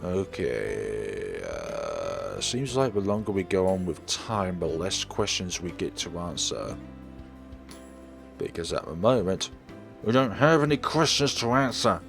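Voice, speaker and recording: A man's voice: low-pitched, very animated, a little slow, creaky. A man reading a visual novel aloud in a dramatic storytelling manner. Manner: dramatic read-aloud narration. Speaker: let's player. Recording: music playing behind the voice. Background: game music.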